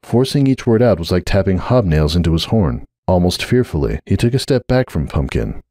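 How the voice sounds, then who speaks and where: A man narrates a story in a fairly low voice with a very lively, dramatic range. The recording is dry and close, with no room sound. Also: fairly quick, no echo. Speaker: narrator of a dramatic fan fiction reading.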